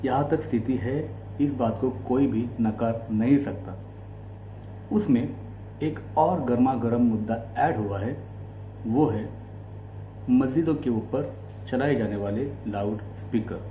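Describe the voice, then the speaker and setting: Echoey, mellow Indian male commentator. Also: medium pitch, a little slow, long pauses. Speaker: host of a commentary channel. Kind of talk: explanatory political commentary to camera. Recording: echoey room, faint background noise and hum.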